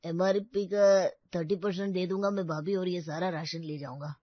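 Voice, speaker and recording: A man's voice: high-pitched, steady tone, normal speed, no echo, highs cut off. A man playing a friendly, deferential neighbourhood grocer in a family story. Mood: obliging. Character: male neighbourhood grocer, obliging and respectful.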